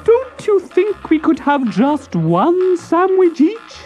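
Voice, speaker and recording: A woman voicing a fretful mother tortoise in a drawn-out, plaintive way for a children's storybook. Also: very high-pitched, big pitch swings, warm tone, faint music behind, pitched above the performer's own voice, heavily acted. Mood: coaxing, worried.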